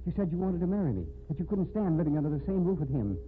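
Tinny old-radio obsessed American killer. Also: male voice, fairly high pitch, sing-song, racing. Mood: desperate, accusing. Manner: intense, menacing. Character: obsessed, bitter murderer threatening the woman he wants. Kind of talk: 1950s radio drama acting. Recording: noisy, tinny 1950s radio recording.

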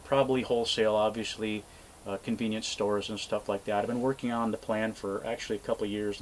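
A man's voice: fairly low pitch, rough recording. An older man in his sixties, chatting casually to camera from an outdoor cabin porch in a rambling, folksy way with plenty of fillers. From Alaska. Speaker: homesteading vlogger. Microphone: camera mic at close range. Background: faint outdoor noise, passing airplane.

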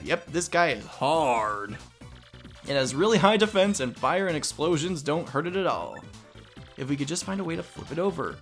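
Young male let's player, casual and self-mocking, lively pitch, faint music behind. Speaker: let's play host. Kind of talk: casual gameplay commentary.

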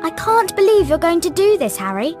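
A woman's voice: extremely high pitch, big pitch swings, brisk, darkish tone, soft background music. A woman voicing a prim, bossy, know-it-all schoolgirl witch in a video game, scolding and earnest. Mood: indignant.

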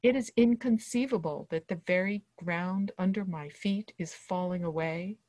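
A woman in her sixties reads a personal text aloud as a guest reader. Her voice sits slightly low for a woman. The sound is dry, with very little room in it, coming through a video call. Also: normal speed, darkish tone, no room sound. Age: 60s. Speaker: history professor, guest reader. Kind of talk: introduction and reading of a personal monologue aloud. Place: small dim wood-walled room indoors.

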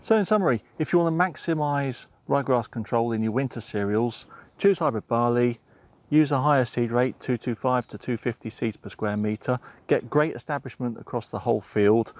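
A man's voice: mid-range voice, darkish tone, dry recording, highs cut off. Methodical middle-aged man presenting outdoors. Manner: measured, methodical, lists figures step by step. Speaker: seeds technical manager. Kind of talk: explanatory presentation to camera. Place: outdoors in a crop field on a sunny day. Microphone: waist-up framing, camera at a few metres.

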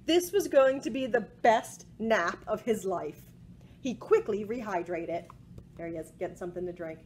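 A woman in her forties reading a picture book aloud to children in an expressive way, with asides about the pictures. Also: mid-range voice, moderate pace. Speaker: library storytime presenter. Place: small indoor room with bookshelves. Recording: home recording with faint background noise.

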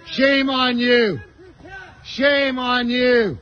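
Agitated, very high-pitched man. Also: fairly even intonation, extremely slow, muffled recording, heavy background noise. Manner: agitated, accusatory, repetitive. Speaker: bystander filming a police stop. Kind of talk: confrontational street argument. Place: outdoors on a city street. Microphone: handheld phone. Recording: busy street phone video.

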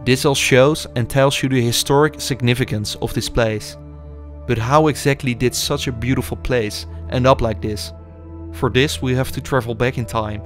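A young man reads scripted documentary narration in a measured, explanatory way. His voice sits at an ordinary middle pitch, and faint music plays underneath. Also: steady tone. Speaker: urban explorer narrator.

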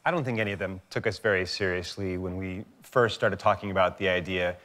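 Fast-talking man guest on a talk show. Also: sits low, fairly even intonation, a bit bright. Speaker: guest, father. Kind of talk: casual talk show interview. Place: TV studio set, on a couch.

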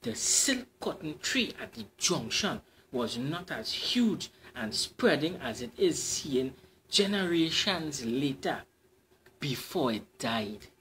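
A man's voice: fairly high pitch, very animated, slow, a bit bright, a little hiss or hum, poor audio. A man with a Trinidadian accent reading a folk tale aloud as storyteller, in a dramatic storybook manner.

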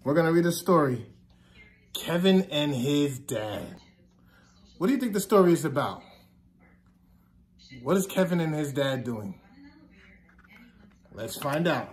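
A man in his thirties, a teacher reading a children's picture book aloud in a deliberate sing-song way, stressing repeated words. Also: fairly high pitch, animated. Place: classroom, indoors.

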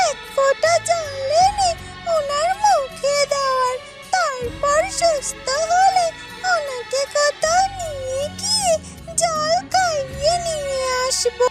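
A performer voicing a small, eager, caring female talking bird in a children's fairytale cartoon, speaking in a childlike, earnest way. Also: very high voice. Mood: worried, willing. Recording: loud music behind the voice.

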